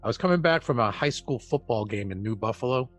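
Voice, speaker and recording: An easygoing UFO investigator played by a man in a radio play. His voice is mid-pitched, and he talks casually with a wry edge. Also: animated, fast talker, faint music behind, natural voice. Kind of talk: audio drama dialogue.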